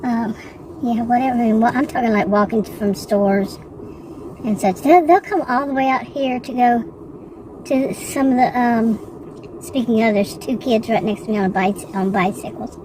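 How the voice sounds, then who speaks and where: A woman's voice: on the high side, normal speed, far from the mic. A woman with a Texan accent chatting in a loose, rambling way, often stopping to correct herself. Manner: rambling, digressive, self-correcting. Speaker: vlogger. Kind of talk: casual rambling chat. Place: car. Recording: loud traffic noise, voice off-mic.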